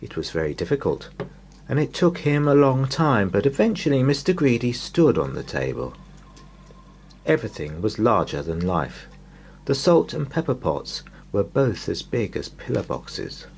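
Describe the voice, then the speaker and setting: A man reading a children's bedtime story in an expressive, dramatic way, lingering on repeated words. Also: mid-range voice, normal speed, creaky, slight background noise.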